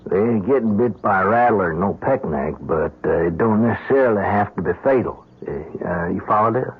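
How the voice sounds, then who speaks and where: A man's voice: fairly low pitch, animated, a little fast, breathy edge. A man playing a folksy longtime hired hand in an old radio drama, stammering and deferential. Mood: hesitant, roundabout. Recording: tinny old radio broadcast.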